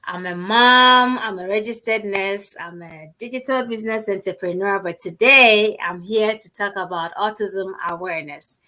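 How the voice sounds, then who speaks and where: A woman with a bright, clear voice hosts an online conversation. She greets her guest and listeners in a welcoming, upbeat way and keeps the exchange moving. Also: mid-range voice, normal speed, hardly any pauses. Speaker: host. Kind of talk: casual online interview.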